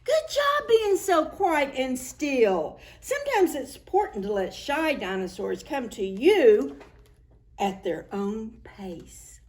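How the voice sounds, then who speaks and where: Lady librarian doing storytime for little kids, slow n sing-songy, voice goes up and down a lot. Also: sits high. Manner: sing-song, addressing children directly, expressive. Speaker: children's librarian hosting preschool story time. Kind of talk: read-aloud picture book storytelling for young children.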